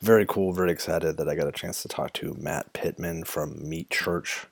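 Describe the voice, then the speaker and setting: A man's voice: extremely low pitch, steady tone, moderate pace, vocal fry, husky, dry recording. A man hosting a podcast in a casual, conversational interview style. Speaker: podcast host.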